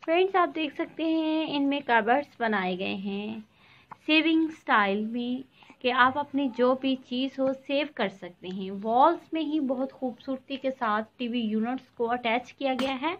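A woman hosting her home decor channel, narrating a slideshow and blessing her viewers warmly. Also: high-pitched, measured pace, highs cut off. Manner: warm blessings and friendly appeals to viewers. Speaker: YouTube channel host. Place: voice-over, not in the pictured rooms.

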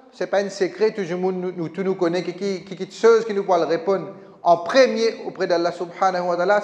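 High-voiced preacher man in echoey mosque. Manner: emphatic, repetitive, gesturing preacher. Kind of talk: Friday sermon. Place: small mosque prayer hall, indoors. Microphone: stand mic. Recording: echoey hall with long reverb.

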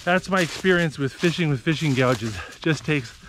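A man in his thirties hosting an outdoor vlog, explaining bushcraft skills in a relaxed, practical way while out in a forest. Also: a little high, normal speed, noisy background. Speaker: outdoor channel host. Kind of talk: casual outdoor vlog narration. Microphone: action camera, worn on the body or close up.

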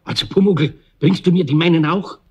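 A man's voice: a little high, brisk, a little mellow, breathy edge. A man playing a good-natured, bemused older master craftsman with a Bavarian accent in a children's radio play. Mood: puzzled, amused.

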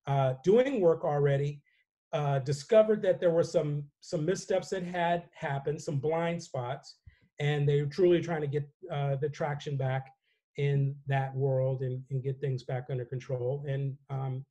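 An older man moderating a panel discussion over a video call from a home office, speaking in a relaxed, measured way with frequent fillers. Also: on the high side. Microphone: webcam on a video call.

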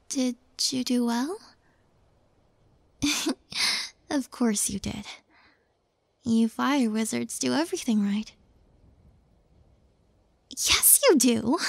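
Soft, breathy, slow-talking shy young witch, acted by a woman. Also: sits high, no room sound, full character voice. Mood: shy, happy. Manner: gentle, hesitant, halting, often breathy near-whisper. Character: sweet, shy, apologetic young witch healer. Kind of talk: soft intimate audio roleplay.

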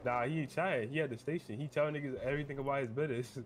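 A man's voice: mid-range voice, very fast, low-quality recording. A man bantering casually in slang and repeating himself during a game roleplay. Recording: game chat with faint car noise.

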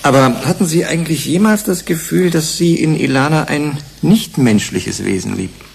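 An actor playing a persistent commission investigator in a German radio drama, questioning with deliberate emphasis. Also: male voice, fairly high pitch, lively intonation, gravelly. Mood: accusing, probing. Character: male UN commission investigator, probing, measured, persistent. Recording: faint background noise.

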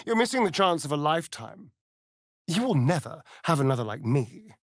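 A man's voice: on the high side, sing-song, normal speed, no echo, clean studio sound. A man with a British accent voicing a vain, sardonic, seductive vampire rogue in a fantasy video game, with an arch, flamboyant, mocking manner. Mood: smug, seductive.

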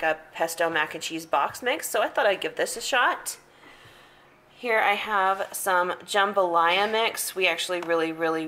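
Bright-voiced chatty woman vlogger. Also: fairly low pitch. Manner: chatty, upbeat, repeats words for emphasis. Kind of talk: casual grocery haul chat. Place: home hallway and kitchen, indoors.

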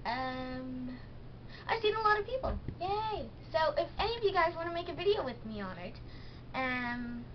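A woman's voice: sits high, big pitch swings, moderate pace. A teenage girl chatting in a rambling, bubbly way in a casual home vlog, recorded on a handheld camera in her bedroom. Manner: bubbly, rambling, many fillers. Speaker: pony toy vlogger. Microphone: handheld camera's built-in mic. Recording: dull lo-fi camera audio with hiss.

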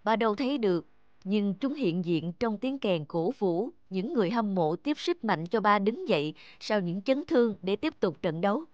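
An actor voicing a gentle, patient middle-aged father in an animated children's story, with a tender, measured, explanatory manner. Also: medium pitch, no room sound, dull audio, close to an everyday voice.

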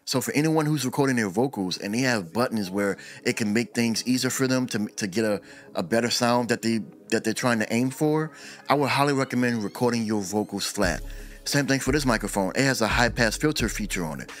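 A man's voice: medium pitch, brisk. A middle-aged man, an audio engineer, talking casually to camera about recording vocals, repeating words as he goes. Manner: relaxed, talks with his hands, repeats words as he goes. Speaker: audio engineer and channel host. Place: small room at home. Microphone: desk mic on boom arm.

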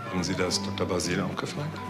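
Curt low-voiced doctor, swooping intonation. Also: male voice, expressive, quick pace, echoey room, over loud music. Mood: defensive. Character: curt, sardonic male hospital doctor. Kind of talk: German crime radio drama acting.

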